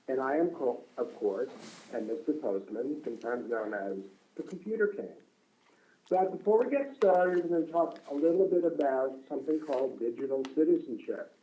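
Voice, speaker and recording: A man's voice: a little high, dark tone, rough recording. A man hosting a live online story broadcast for children, addressing the young listeners directly.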